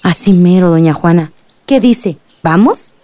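A woman's voice: fairly low pitch, unhurried, close to an everyday voice. An actress playing a patient, hopeful village woman in a radio drama, earnest and respectfully persuasive. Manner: earnest, coaxing.

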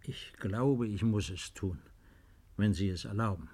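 An actor in his fifties playing a reserved, melancholy baron, quiet and understated, in a 1950s German radio play. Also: on the low side, unhurried, husky. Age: 50s.

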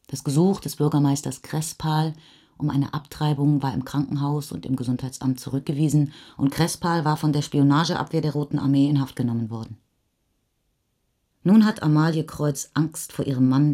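A woman's voice: deep voice, warm tone, slightly rough. A woman reading sober literary narration in a matter-of-fact, restrained manner.

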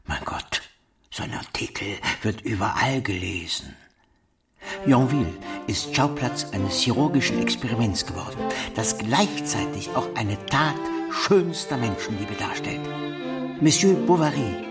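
Self-important small-town pharmacist, declamatory, persuasive, middle pitch, radio play. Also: male voice, animated, unhurried, over loud music. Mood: eager, pompous. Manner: pompous, declamatory. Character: self-important, progress-minded village pharmacist, eagerly persuasive. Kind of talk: German radio play acting.

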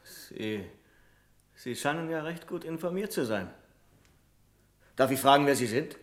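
An actor in a radio play playing a fussy, easily exasperated senior clerk who speaks in a formal, sceptical, pointed way. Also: male voice, fairly high pitch, expressive, a little slow. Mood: stiffly polite.

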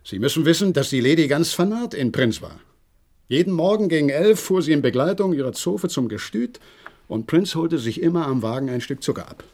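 A man's voice: on the high side, expressive, fairly quick, a little mellow. A man acting in a German radio play as an agitated horse trainer, eager and deferential as he gives a dramatic account. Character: agitated horse trainer reporting a mystery, deferential male servant.

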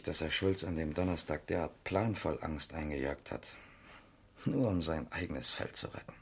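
A man's voice: a bass voice, vocal fry, slightly rough, heavily acted. An actor playing a sceptical, exasperated male police detective talking colloquially in a radio crime drama. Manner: colloquial, cynical. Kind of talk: 1970s German radio crime drama acting.

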